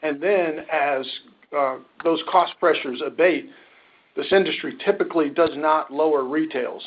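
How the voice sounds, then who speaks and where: A man speaking as a company finance executive, answering questions on a conference call in measured corporate phrasing. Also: fairly high pitch. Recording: muffled conference-call audio.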